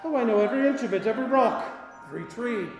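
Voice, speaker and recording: A man's voice: extremely high pitch, very fast. Old male miser for radio drama: remorseful, agitated, theatrical, in long reverb with music behind. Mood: amazed. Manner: emotional, theatrical, repeating words in agitation. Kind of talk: radio play acting.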